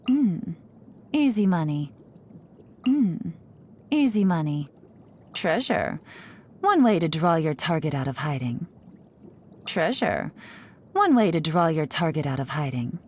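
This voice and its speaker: An actress playing a cool, sly young woman intelligence agent in a video game, composed and teasing in a knowing, unhurried way. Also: lowish voice, slight background noise. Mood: sly, confident. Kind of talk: video game character voice lines. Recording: studio voice over background music. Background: music.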